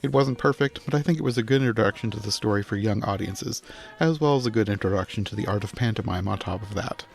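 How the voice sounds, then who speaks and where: A man's voice: fairly low pitch, fast, vocal fry. A man chatting casually as a panelist on a podcast, giving his own impressions. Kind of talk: casual chat.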